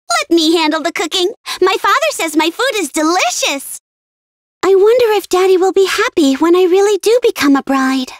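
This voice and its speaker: Game role: girlish young bride, very high and swooping, bubbly, coquettish, dry studio sound. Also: a bit bright, no room sound, exaggerated voice. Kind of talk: video game character voice lines.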